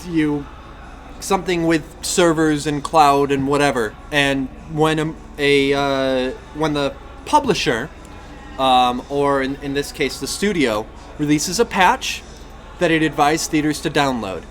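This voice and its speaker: A man's voice: fairly high pitch, a little slow, a bit bright. A man co-hosting a casual games and movies podcast, explaining things in a wry, rambling way. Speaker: podcast co-host.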